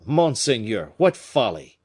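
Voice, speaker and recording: A man's voice: mid-range voice, big pitch swings, measured pace, darkish tone, breathy edge, pitched above the performer's own voice. A man reading an audiobook, voicing a nobleman's anxious, loyal aide who urges caution. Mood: urgent. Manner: urgent, pleading.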